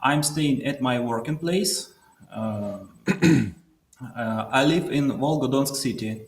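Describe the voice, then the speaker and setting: A man in his thirties from Russia with a Russian accent, calling in and speaking slowly, stopping often to find his words. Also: mid-range voice. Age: 30s. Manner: slow, hesitant, many pauses to find words. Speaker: caller. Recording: echoey room with faint background noise.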